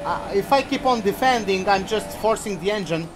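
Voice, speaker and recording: A young man from Portugal with a high voice talks over his racing game in a loose, rambling way. Game music plays clearly behind him. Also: brisk. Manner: loose, rambling, swears when startled. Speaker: gaming channel host. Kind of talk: casual commentary while racing.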